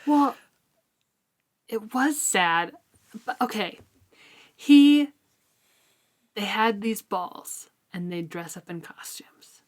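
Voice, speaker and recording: A woman co-hosting a book discussion podcast, chatting casually and colloquially with animated emphasis. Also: mid-range voice, extremely slow, pauses often. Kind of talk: casual chat about a novel.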